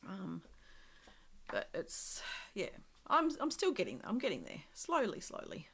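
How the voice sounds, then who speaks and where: A woman with a slightly croaky voice from a cold, casually demonstrating a craft project live and thinking aloud as she works. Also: medium pitch, wildly swooping pitch, measured pace, fairly bright tone. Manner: chatty, thinking aloud with many ums and small laughs.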